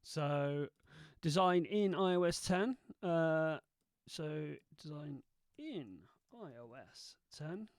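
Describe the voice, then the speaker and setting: A man hosting a video, casually thinking aloud as he tests software and mutters asides to himself. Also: fairly high pitch, lively intonation, slow, clean tone, no room sound, studio quality. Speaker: video host testing software.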